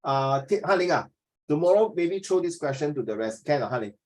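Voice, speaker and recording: A man's voice: on the high side, expressive, brisk, a little mellow. A man in his fifties with a Singaporean accent, teaching an informal class discussion, often repeating himself and checking with questions. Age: 50s.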